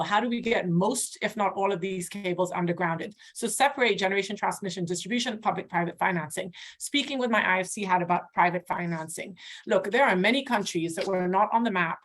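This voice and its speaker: A woman's voice: medium pitch, a bit bright. Panelist, female, finance voice, assertive and fluent with asides, over a video call. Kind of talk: panel discussion.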